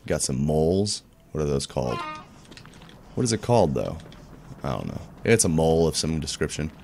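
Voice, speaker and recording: Male gaming host, very low deep voice, easygoing rambling let's-play narration. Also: sing-song, normal speed, gravelly, mellow, a little hiss or hum. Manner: rambling, searches for words, laid-back. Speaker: gaming YouTuber. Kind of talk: casual let's-play commentary.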